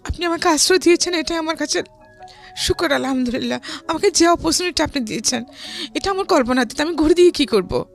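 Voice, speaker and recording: High-voiced Bangladeshi woman telling her story. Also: lively intonation, fast, gravelly, faint music behind. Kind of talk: personal storytelling in conversation.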